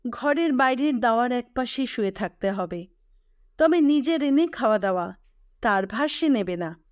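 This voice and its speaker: Unhurried, higher-pitched woman storyteller. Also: no echo, studio quality. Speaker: audio story narrator. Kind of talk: scripted literary story narration.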